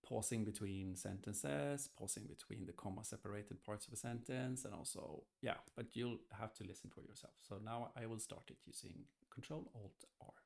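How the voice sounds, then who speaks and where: Creaky-voiced man giving a software walkthrough. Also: lowish voice, normal speed, few pauses. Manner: hesitant, thinking aloud. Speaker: software tester demonstrating. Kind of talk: casual screen-recorded software walkthrough. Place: indoors, screen-recorded voice-over.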